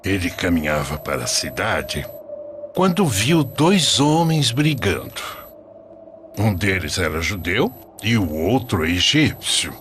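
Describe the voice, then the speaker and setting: Animated storybook narrator: male actor as devout elderly grandfather, patient, lowish, slow, very expressive intonation. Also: a little hiss or hum, heavily acted. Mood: solemn storytelling. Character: devout, patient old grandfather telling sacred stories.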